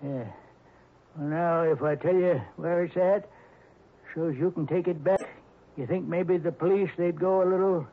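A man's voice: fairly high pitch, steady tone, moderate pace. An actor playing a scared, shifty old country man in a radio drama, with folksy, ungrammatical rural talk. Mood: uneasy, confiding. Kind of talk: 1960s radio drama acting. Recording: dull old radio recording.

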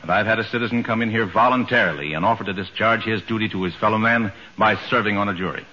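An actor playing a courteous, authoritative judge with formal courtroom diction in a 1940s radio comedy. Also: male voice, on the low side, fast, bright, forceful tone. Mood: impressed, gracious. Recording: noisy muffled 1940s radio recording.

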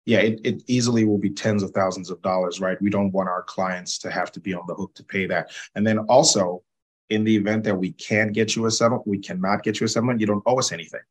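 A man from Zambia with a notably deep, low voice, speaking as a guest on an interview. He explains legal matters in a measured, patient way, often pausing to check in with "right?" and "you know.". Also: fairly even intonation, slightly rough, low-quality recording. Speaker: personal injury attorney.

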